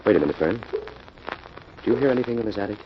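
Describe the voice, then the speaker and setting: An actor playing a shrewd, commanding detective in a 1940s radio mystery. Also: male voice, fairly low pitch, animated, racing, close to an everyday voice. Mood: decisive. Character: Jim, shrewd, methodical, commanding male detective hero. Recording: noisy, muffled old-time radio recording.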